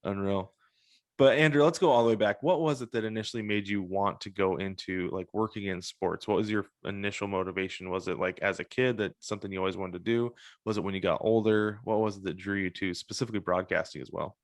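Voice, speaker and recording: A man's voice: on the low side, fast talker, no echo. A man speaking as a podcast host and interviewer in casual chat.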